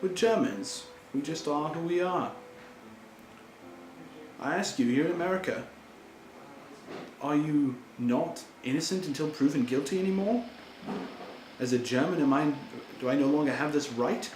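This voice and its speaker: A man's voice: a little high, expressive, moderate pace, long pauses, darkish tone, close to an everyday voice. A man playing an earnest German immigrant pleading. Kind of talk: amateur historical role-play monologue. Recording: echoey room with background noise.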